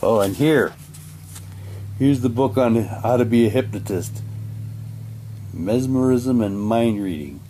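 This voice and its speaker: A man chatting casually while reading documents aloud, haltingly, with muttered asides to himself. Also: medium pitch, mellow, a little hiss or hum. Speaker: reviewer unboxing an audio drama's props.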